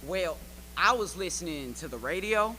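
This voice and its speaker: An actor playing a slow, folksy male farmer who rambles hesitantly through a radio play interview. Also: mid-range voice, lively intonation, pushed and bright, dry recording, low-quality recording. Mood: drowsy, rambling.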